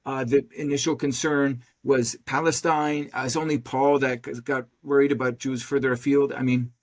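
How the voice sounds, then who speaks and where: A man's voice: medium pitch, little pitch movement, normal speed. A man interviewing in a conversational way, tentatively hedging and thinking his questions through aloud.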